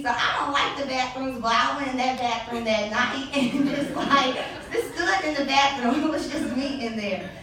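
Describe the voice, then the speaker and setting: Young woman doing a story slam, sassy and animated, kinda high voice, far off mic in a big echoey room. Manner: animated, sassy, laughing asides. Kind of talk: live personal storytelling. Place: live event venue. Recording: echoey hall, distant mic.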